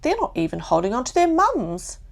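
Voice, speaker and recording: A woman voicing a timid, childlike little boy koala in a bedtime story, squeaky and hesitant. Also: wildly swooping pitch, normal speed, vocal fry, exaggerated voice. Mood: timid wonder.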